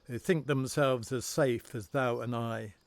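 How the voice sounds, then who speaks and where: An actor playing a proud, overconfident lord in a Shakespearean audio drama, speaking in an emphatic, theatrical way. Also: male voice, medium pitch, steady tone, measured pace, dry recording, lightly acted. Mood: cocksure. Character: proud, overconfident English lord, scornful and loyal.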